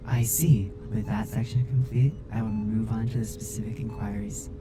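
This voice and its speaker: Caring male robot crewmate played by a man, formal and measured, for ASMR audio drama with music underneath. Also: on the low side, steady tone, lightly acted. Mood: calm, attentive. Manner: formal, precise, measured wording.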